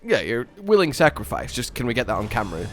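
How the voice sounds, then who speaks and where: Very animated man bantering over gameplay. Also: medium pitch, quick pace. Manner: playful, excitable, swearing. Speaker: co-host of a gaming video. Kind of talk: casual gameplay banter and commentary.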